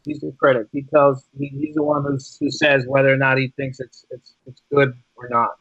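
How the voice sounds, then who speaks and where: A man chatting casually as a podcast guest, restating his phrases for emphasis in an animated way. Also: medium pitch, normal speed, breathy edge, no room sound, lo-fi sound. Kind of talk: casual interview chat.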